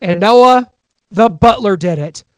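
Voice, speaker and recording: Male co-host, high voice, dry close mic, enthusiastic recap. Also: lively intonation, slow, no room sound. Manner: enthusiastic, recounts plot in detail. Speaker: podcast co-host. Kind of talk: casual recap chat.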